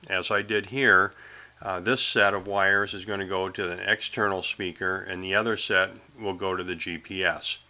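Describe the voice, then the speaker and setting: A man's voice: lowish voice, pushed and bright, smooth. A man presenting his own how-to sailing video, narrating in a casual, explanatory way.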